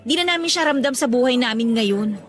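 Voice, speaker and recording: A woman's voice: fairly high pitch, expressive, fairly quick, fairly bright tone, faint music behind. A woman acting in a radio drama as a burdened young working woman, talking in an earnest, conversational way. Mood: weary, resentful.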